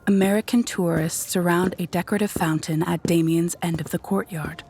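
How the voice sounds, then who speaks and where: A woman reading scripted narration for a dark audio drama in a measured, descriptive storytelling manner. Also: on the low side, fairly even intonation, gravelly, slight background noise.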